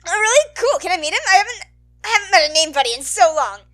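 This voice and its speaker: A loud, excitable announcer guy from a storybook, acted out by a woman. The voice is high and bright. He talks in a chatty, slangy way, full of friendly enthusiasm. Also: quick pace, exaggerated voice. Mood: excited, friendly. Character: loud, excitable, friendly adult male radio-announcer hero.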